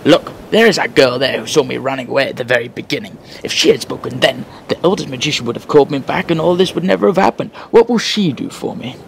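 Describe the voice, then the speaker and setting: Guy doing a big stubborn crab, slow and bargaining, storybook style. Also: mid-range voice, vocal fry, a little hiss or hum, natural voice. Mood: complaining. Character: proud giant crab, stubborn, later tiny.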